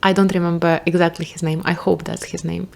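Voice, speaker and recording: A woman's voice: lowish voice, flat delivery, a little fast, creaky, darkish tone. A young woman with a Ukrainian accent, talking casually to camera as a vlogger, thinking aloud in a rambling way with restarts and the odd swear. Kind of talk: casual reflective monologue.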